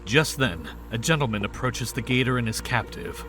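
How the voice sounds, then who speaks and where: A man's voice: mid-range voice, moderate pace, a bit bright. A man narrating a scripted audio drama in storybook style. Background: background music.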